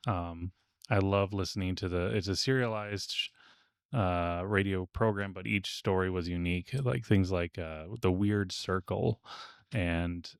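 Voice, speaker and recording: A man's voice: deep voice, clear, resonant tone, dry recording, studio quality. A man co-hosting a podcast, chatting casually in a round-table discussion. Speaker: podcast co-host.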